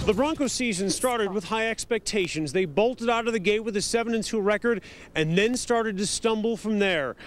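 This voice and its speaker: A man's voice: high-pitched, moderate pace, soft background music. A man with an American accent giving a scripted sports report.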